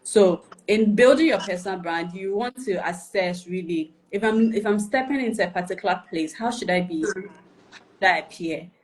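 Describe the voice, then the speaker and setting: A woman teaching personal branding on a live video session. Her voice sits at an ordinary middle pitch, and she talks patiently and methodically. She builds her points through lists and rhetorical questions and keeps checking that the listener follows. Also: normal speed, poor audio. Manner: explanatory, checks for understanding with "right?" and "okay?". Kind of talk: instructional live chat.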